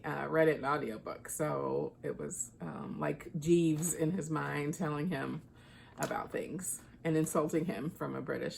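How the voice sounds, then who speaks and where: Low-pitched woman chatting casually about books. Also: creaky, a little mellow, a little hiss or hum. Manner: informal, conversational, thinking aloud. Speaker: book reviewer. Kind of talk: casual book chat vlog.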